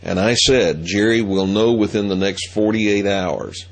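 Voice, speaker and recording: A middle-aged man hosting a show, telling a story in a measured, deliberate way. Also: fairly low pitch, steady tone, poor audio. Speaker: host. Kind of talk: monologue.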